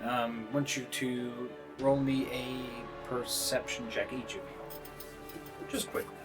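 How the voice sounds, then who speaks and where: A man running a tabletop game as game master, narrating scenes off the cuff with halting pauses to think. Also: mid-range voice, flat delivery, music in the background.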